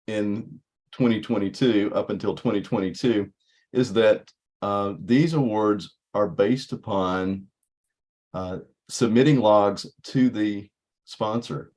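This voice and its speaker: Low-voiced man explaining haltingly. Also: darkish tone. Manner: halting, hesitant phrasing. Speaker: contest organizing group member. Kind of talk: informal explanation in an online meeting. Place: online video meeting.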